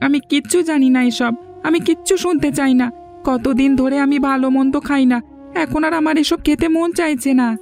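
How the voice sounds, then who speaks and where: Woman acting whiny cartoon kid, high voice, obstinate, insistent, music underneath. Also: fairly quick, a little mellow. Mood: stubborn, sulky. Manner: insistent, petulant. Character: stubborn, whining young child demanding meat with rice.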